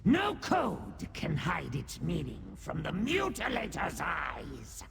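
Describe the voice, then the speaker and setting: Tiny pompous demon for a game, slow n dramatic, long reverb, croaky. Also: male voice, fairly high pitch, wildly swooping pitch, full character voice. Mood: conspiratorial, proud. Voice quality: gravelly, creaky. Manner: grandiose, refers to himself in third person. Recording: long reverb, clear background noise.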